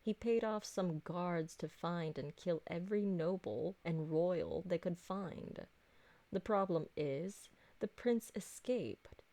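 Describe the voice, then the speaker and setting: An elegant vampire lady played by a woman speaks in a lowish, creaky voice. Her diction is formal and deliberate, that of a possessive, doting mistress in an ASMR roleplay drama. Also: mellow, dry recording. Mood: frustrated, measured, then indignant.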